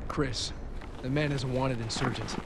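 An actor playing a hot-headed, loyal young soldier in a video game, blunt and urgent. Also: male voice, mid-range voice, steady tone, normal speed, background noise, lightly acted. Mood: indignant. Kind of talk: video game cutscene acting.

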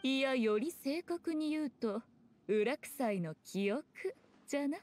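Game role: teasing fox priestess, high-pitched, haughty, composed, speaking in archaic noble style. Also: female voice, expressive, unhurried, a little mellow. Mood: composed, explaining. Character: elegant, sly, teasing fox shrine maiden. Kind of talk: Japanese video game acting.

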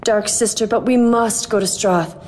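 A woman playing a devout, yielding sister of a dark order in a fantasy audio drama. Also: medium pitch, fairly even intonation, moderate pace, a little hiss or hum, lightly acted. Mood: devout, pleading.

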